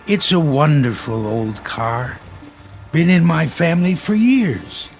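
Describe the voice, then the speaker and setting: A man's voice: on the high side, lively intonation, slight background noise. An actor playing a polite, earnest old man, speaking mildly and courteously in a radio drama. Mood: wistful. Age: older. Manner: mild, unhurried, courteous.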